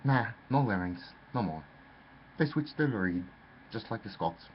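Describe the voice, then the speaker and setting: A man's voice: fairly low pitch, animated, normal speed. A man telling a rambling, theatrical story, addressing the listener as "lad". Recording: dull lo-fi with faint noise.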